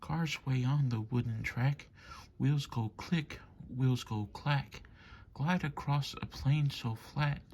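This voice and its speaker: Male bedtime story reader, unhurried and rhythmic, with a rough, husky grain. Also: mid-range voice, a little mellow. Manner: rhythmic, measured delivery of rhyme. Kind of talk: bedtime story read-aloud.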